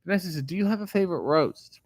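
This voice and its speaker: A middle-aged man, a comedian host, reading viewer comments aloud and answering them in a casual, profane, deadpan way. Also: sits high, fast talker, dry recording. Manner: profane, sardonic, deadpan asides. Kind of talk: casual chat answering live chat questions.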